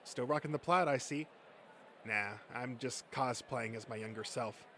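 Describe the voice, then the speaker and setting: A man's voice: medium pitch, moderate pace, sharp tone. An easygoing but awkward grown-up comic geek, played by a man. He talks in a hesitant, self-conscious way, as a comic-dub character, with slight noise in the background. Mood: awkward friendliness.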